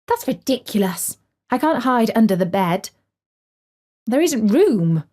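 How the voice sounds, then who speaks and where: A woman's voice: a little high, big pitch swings, measured pace, dark tone. A woman voicing a harried, superstitious mother in a children's story, exclaiming dramatically. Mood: indignant, flustered.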